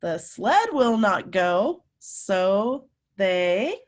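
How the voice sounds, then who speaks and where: A woman teacher reading a picture book aloud to young children, animated and emphatic, often putting questions to her listeners. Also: medium pitch, slight background noise. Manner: animated, emphatic, drawn-out words, coaxing young children along.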